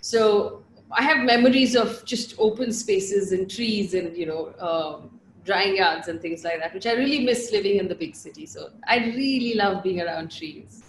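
A woman from Bangalore with a Bangalore accent, a journalist telling her story as an interview guest in a chatty, animated way, laughing often and trailing off with fillers. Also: mid-range voice, moderate pace, echoey room, slight background noise, low-quality recording. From Bangalore, India. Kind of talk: casual interview chat.

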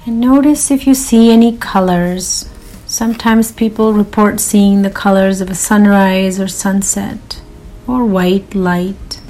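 A woman leading a guided meditation in a soothing, unhurried manner with long pauses. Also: medium pitch, steady tone, warm tone, poor audio.

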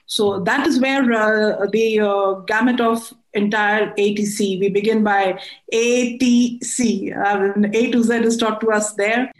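Indian woman interviewed in an echoey room. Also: medium pitch. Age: middle-aged. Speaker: interview guest, air force air traffic control officer. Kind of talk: conversational interview answers.

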